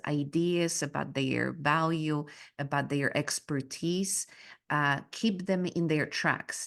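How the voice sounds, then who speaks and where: A woman from Poland, a native Polish speaker, talking as a guest on a podcast in an earnest, measured way about her coaching work. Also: low voice. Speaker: guest, business mindset coach. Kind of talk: podcast interview.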